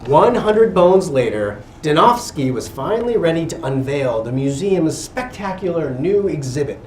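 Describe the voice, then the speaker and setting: A man giving an expressive picture-book reading to children, pausing for little asides. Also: fairly high pitch. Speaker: guest reader at a school. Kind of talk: animated picture-book read-aloud to children. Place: indoor school room with children. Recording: roomy, distant mic with background noise.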